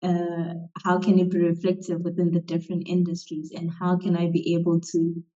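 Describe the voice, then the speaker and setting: A young woman from Zimbabwe, a technology policy writer, speaking calmly and evenly as a guest in an interview over a video call. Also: on the low side. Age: young adult. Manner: calm, measured speech. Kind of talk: conversational interview. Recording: dull, muffled lo-fi sound.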